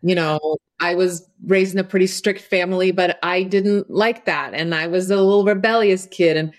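A woman's voice: fairly low pitch, steady tone, moderate pace. A middle-aged woman from Croatia, a podcast guest talking candidly and openly, often saying "you know" and "like". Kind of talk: conversational interview.

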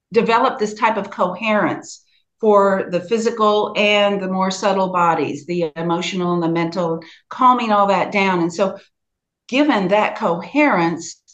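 Middle-aged lady w a soft southern accent, slow and measured, lots of ums, mid-pitched voice. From United States. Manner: slow, measured, with frequent fillers. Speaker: guest, sound healing practitioner. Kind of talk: relaxed interview answers.

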